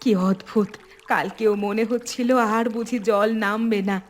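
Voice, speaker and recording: A kindly village wife from a cartoon drama, acted by a woman. She speaks earnestly and with feeling at a medium pitch, with music playing behind her. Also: fairly even intonation, unhurried, close to an everyday voice. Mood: relieved, earnest. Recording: music bed under the voice.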